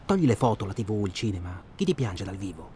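A man's voice: lowish voice, big pitch swings, quick pace, mellow. A man acting in a radio drama as a well-spoken, self-absorbed hunting traveller who talks insistently and at length. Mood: hushed, reflective. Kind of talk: naturalistic radio drama dialogue. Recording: clear ambient noise behind.

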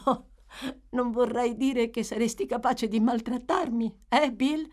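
A woman's voice: a little high, steady tone, unhurried, a little mellow, voice pushed up, natural voice. A woman voicing a frail, exhausted, long-suffering young woman in an audiobook, speaking with pleading tenderness. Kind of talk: audiobook character voice.

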